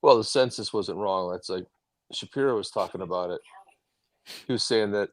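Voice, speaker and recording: A man's voice: lowish voice, dry recording. A man from New York co-hosting a casual live stream, chatty and jokey. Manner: chatty, jokey, talks in quick asides. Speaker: co-host.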